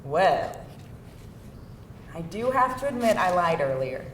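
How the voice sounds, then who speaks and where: A woman's voice: fairly low pitch, very animated, takes it slowly, recorded from a distance. A woman playing a wry, candid, incredulous date in a live screenplay table read. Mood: candid, playful. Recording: echoey live room, faint noise.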